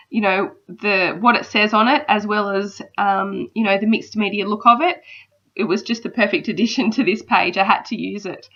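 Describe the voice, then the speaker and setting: A woman in her thirties with a slight cold, chatting casually in a voice-over. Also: medium pitch. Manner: chatty, relaxed, frequent ums. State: battling a cold. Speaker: crafter narrating a process video. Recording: slightly dull lo-fi sound.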